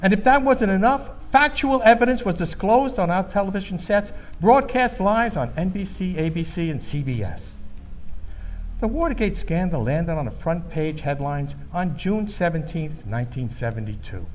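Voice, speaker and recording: A man playing an earnest Midwestern storyteller recalling his youth in a scripted radio theater monologue, measured, grave and declamatory. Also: sits high, lively intonation, soft background music. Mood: earnest, dramatic.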